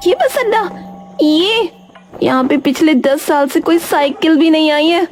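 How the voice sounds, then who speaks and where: A woman's voice: high voice, unhurried, soft background music, voice pushed up. A woman voicing a weary, sarcastic elder sister who grumbles in a colloquial way in a cartoon story. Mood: disbelieving. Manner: sardonic, complaining, colloquial.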